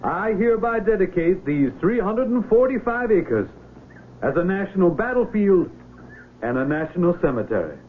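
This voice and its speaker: An actor playing a pompous senator giving a formal ceremonial proclamation in a radio drama. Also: male voice, high voice, sing-song, normal speed. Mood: solemn, declamatory. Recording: narrow-band old radio, faint noise.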